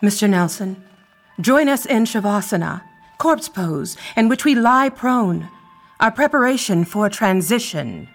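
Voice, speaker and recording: An actress playing a serene, sinister cult leader in an audio play, measured, ceremonial and coolly controlled. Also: female voice, mid-range voice, lively intonation, soft background music. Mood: serene, commanding.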